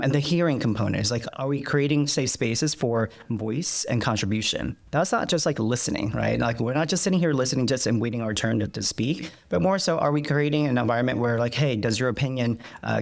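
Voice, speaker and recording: A man's voice: mid-range voice, creaky. A man whose was Vietnamese, a guest in a conversational interview, speaking reflectively with many fillers and restarts. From United States.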